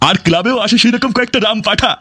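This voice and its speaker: A man's voice: high voice, rapid-fire, a bit bright, breathy edge, no echo, clean studio sound. A man playing a grumpy, foul-mouthed cartoon father who rants and scolds his idle son. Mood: angry scolding. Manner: scolding, ranting, crude. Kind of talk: exaggerated comic cartoon dialogue.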